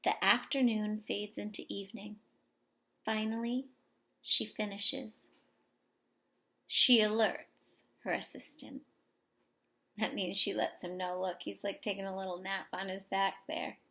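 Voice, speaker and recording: A woman teacher reading a picture book aloud expressively to young children, pausing for explanations and questions. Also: medium pitch, flat delivery, vocal fry, pushed and bright. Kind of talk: expressive children's story read-aloud with asides to listeners.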